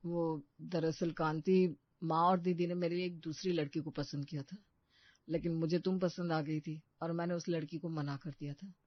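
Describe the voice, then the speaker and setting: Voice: mid-range voice, little pitch movement, moderate pace, no room sound, lo-fi sound, lightly acted. A performer voicing a kind, reassuring young husband in a family story. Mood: caring.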